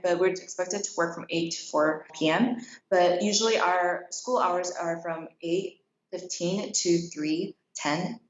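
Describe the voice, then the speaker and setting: A young adult woman from South Korea who grew up in Kenya, chatting casually as an interview guest and peppering her answers with "like". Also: on the low side. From South Korea, raised in Kenya. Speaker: interview guest, middle school teacher. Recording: roomy, dull lo-fi sound.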